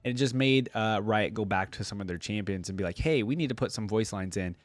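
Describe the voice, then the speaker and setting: A man's voice: fairly low pitch, fairly quick, no echo, soft background music. A man giving casual reaction commentary as a host, thinking aloud in an informal way.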